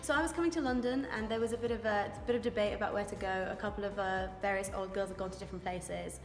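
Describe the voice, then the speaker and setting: A woman's voice: medium pitch, fairly even intonation, quick pace. A rugby player in her twenties from Wales, answering interview questions in a chatty, eager, informal way. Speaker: rugby scrum-half. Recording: echoey room with long reverb, music behind.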